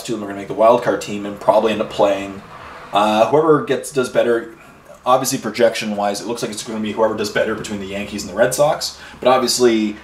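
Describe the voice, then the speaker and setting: A man chatting casually as he paints miniatures for a hobby video, rambling from one thing to the next with plenty of fillers. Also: lowish voice. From Ireland and Canada. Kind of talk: casual chat while painting. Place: home hobby room. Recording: roomy echo, voice a bit far from mic, faint noise.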